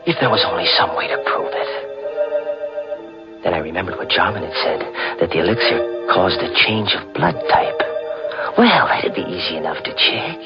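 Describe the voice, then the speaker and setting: Low-voiced, scheming radio thriller man. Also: animated, normal speed. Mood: brooding. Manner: theatrical, brooding, soothing to his sweetheart. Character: scheming, paranoid man who believes himself immortal, coolly plotting murder. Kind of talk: 1940s radio thriller acting with first-person narration. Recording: muffled vintage radio recording with music.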